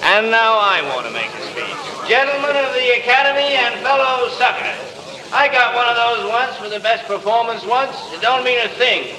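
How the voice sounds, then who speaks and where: High-pitched, bitter drunken fallen star. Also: male voice, lively intonation, normal speed, distant mic. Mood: bitter, sarcastic. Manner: loud, sarcastic speechifying. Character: drunken, bitter fallen film star interrupting an awards dinner. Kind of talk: 1930s radio acting. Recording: echoey old radio recording, off-mic, crowd behind.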